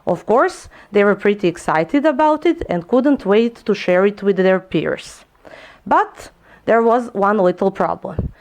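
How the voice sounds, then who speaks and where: A young woman whose first language is Serbian, presenting a research paper in a clear, explanatory way with a few small slips. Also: medium pitch, lively intonation, a little slow, a little mellow. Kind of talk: prepared lecture presentation.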